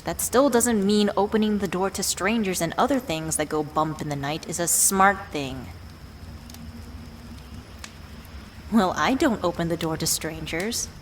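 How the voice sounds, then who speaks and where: A woman's voice: on the low side, natural voice. A woman playing a worried, affectionate witch who tenderly scolds a beloved partner in an ASMR roleplay audio drama. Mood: earnest concern. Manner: playfully exasperated, tender scolding with sighs. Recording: clear background ambient noise.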